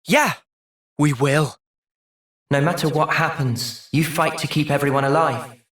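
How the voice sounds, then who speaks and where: A man with an English accent playing an earnest, thoughtful young soldier hero in a video game, speaking sincerely and with measured delivery. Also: on the high side, steady tone, a bit bright, breathy edge, no echo, clean studio sound. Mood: calm, earnest. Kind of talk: video game cutscene voice acting.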